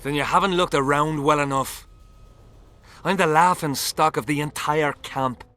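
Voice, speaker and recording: A man's voice: fairly high pitch, normal speed, bright, forceful tone. An actor playing a shaken, embittered common soldier in a video game, telling his story bluntly and dramatically. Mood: bitter, ashamed. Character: shaken, embittered low-ranking soldier, humiliated and haunted.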